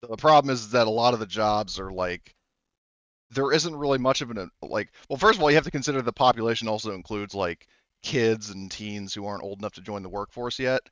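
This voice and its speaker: A man working as game master, narrating a tabletop game off the cuff in a halting, rambling, self-correcting way. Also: lowish voice, fairly bright tone, dry recording, highs cut off.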